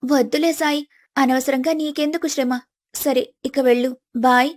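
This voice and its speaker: A woman playing a gentle, wronged young daughter in a family story, earnest and pleading in manner. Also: high-pitched, fairly even intonation, normal speed, lightly acted. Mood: polite. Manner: soft-hearted, pleading, earnest.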